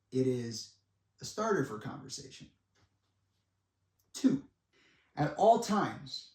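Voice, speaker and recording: A man in his twenties giving a monologue to viewers in a dramatic, deliberate way, with pauses and blunt asides. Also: mid-range voice, a little mellow. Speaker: speaker making a personal public statement. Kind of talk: semi-prepared monologue addressed to viewers. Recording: echoey room, distant microphone.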